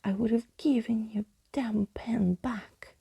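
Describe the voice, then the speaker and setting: A woman acts out a defiant young storybook girl. The voice is a breathy, mellow whisper with a crackly edge. She sounds feisty and indignant even while hushed. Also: fairly high pitch, slow pace, exaggerated voice. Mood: grumbling.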